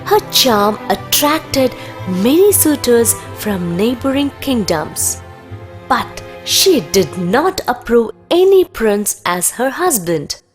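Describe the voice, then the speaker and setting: A woman reading scripted narration for children's animated stories. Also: a little high, slow pace, faint music behind.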